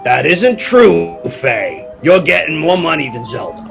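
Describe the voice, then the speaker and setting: A harried, bossy circus manager acted by a man, gravelly and fairly high. He is emphatic and animated, in the manner of 1940s radio drama. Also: unhurried, dull audio, faint music behind, full character voice. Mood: stern, placating. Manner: commanding, emphatic. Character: exasperated circus manager, bossy but placating. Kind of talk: 1940s radio play acting.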